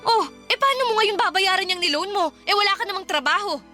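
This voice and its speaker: A woman's voice: very high voice, fast talker, pushed and bright, soft background music. A woman acting a hardworking, fed-up girlfriend in a radio drama, practical and reproachful. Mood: exasperated. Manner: nagging, reproachful. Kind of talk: radio drama acting in Filipino love story.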